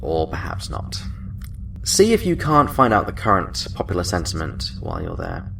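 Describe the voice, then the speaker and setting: Man playing low-voiced, measured starship fleet superior. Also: big pitch swings, vocal fry, a bit bright, recorded from a distance, a little hiss or hum, heavily acted. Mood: measured, wry. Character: male senior Starfleet officer, measured and wry. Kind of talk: science-fiction audio drama.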